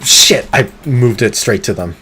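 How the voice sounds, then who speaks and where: A man streaming a game live, talking through it in an excitable, competitive way, with sudden gasps and pleading. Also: medium pitch, measured pace, a little hiss or hum. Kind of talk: live gameplay commentary.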